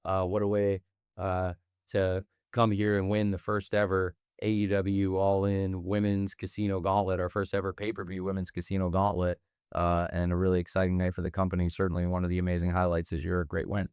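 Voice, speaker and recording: A man's voice: deep voice, completely flat delivery, a little fast, a little mellow, smooth, dry recording, clean studio sound. A man putting formal, congratulatory questions to a wrestler at a press conference. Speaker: company interviewer.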